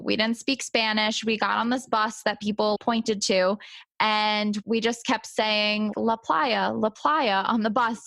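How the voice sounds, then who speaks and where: A woman's voice: on the high side, a bit bright, no room sound. A woman hosting a podcast interview, chatting casually in an enthusiastic, agreeable way.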